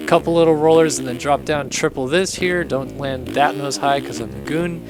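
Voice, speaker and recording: A young man hosting a casual video walkthrough, his voice hoarse and raspy from having lost it, chatty and upbeat. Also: fairly high pitch, moderate pace, over music. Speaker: host. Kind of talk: casual game track walkthrough commentary.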